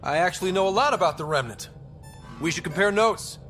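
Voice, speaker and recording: Defiant young space captain, high urgent voice, commanding, music blaring behind. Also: male voice, expressive, fairly quick. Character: bold young male ship commander, defiant. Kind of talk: scripted video game acting. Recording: loud action music behind.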